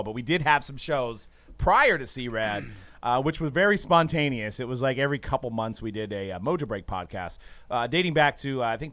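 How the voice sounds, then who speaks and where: A man's voice: medium pitch, a bit bright, dry recording. A man co-hosting a casual hobby podcast from a studio, upbeat and laughing easily, with frequent filler sounds. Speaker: podcast co-host.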